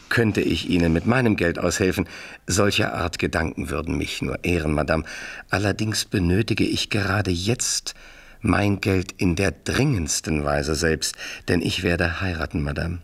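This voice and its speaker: An actor playing a suave, smug French marquis in a radio play, speaking with measured, mock-courteous politeness. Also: male voice, low-pitched, gravelly, husky, heavily acted. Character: suave, mocking French marquis acting as financial adviser. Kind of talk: 1970s German radio play acting.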